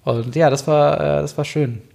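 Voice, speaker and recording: A man's voice: fairly high pitch, steady tone, moderate pace, creaky, a little mellow. A young man co-hosting a podcast, chatting casually and rambling with lots of fillers. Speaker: podcast co-host. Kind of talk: casual chat.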